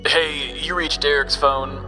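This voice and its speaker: A man voicing a plain recorded voicemail greeting in a flat, routine tone. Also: on the high side, measured pace, music in the background, voice pushed up, close to an everyday voice.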